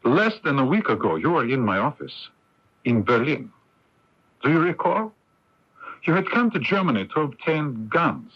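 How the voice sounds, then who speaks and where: A man's voice: mid-range voice, big pitch swings, moderate pace, long pauses, breathy edge. An actor playing a formal, imperious male foreign minister in a radio mystery drama, speaking precisely. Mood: stern, insistent. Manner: formal, precise, swooping emphasis. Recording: dull lo-fi radio recording.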